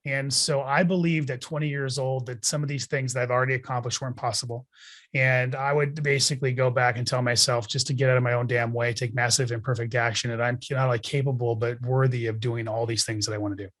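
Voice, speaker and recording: A man's voice: medium pitch, level tone, quick pace, a bit bright. A man in his forties talking as a guest in an interview, blunt and emphatic, giving motivational advice with casual swearing. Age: 40s. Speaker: podcast guest, real estate investor.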